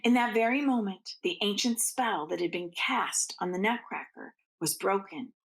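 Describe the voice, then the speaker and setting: A woman reading a children's story aloud like a teacher, expressively, with drawn-out phrasing and hushed asides. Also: medium pitch, low-quality recording.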